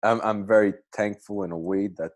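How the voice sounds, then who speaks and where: A man's voice: lowish voice, fairly even intonation, a little fast, a little mellow, dry recording, studio quality. A man hosting a podcast in casual chat, rambling with lots of fillers and restarts. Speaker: podcast host.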